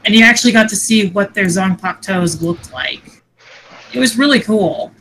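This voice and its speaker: Female co-host, bright mid-range voice, opinionated and slangy, faint noise behind her. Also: medium pitch, normal speed, rough recording. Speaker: podcast co-host. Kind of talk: casual chat. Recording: noticeable background noise.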